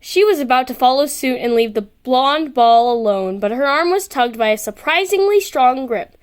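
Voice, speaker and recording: A woman's voice: high-pitched, animated, moderate pace, clean tone. A woman narrating a story as an amateur reader. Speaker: amateur fan fiction narrator.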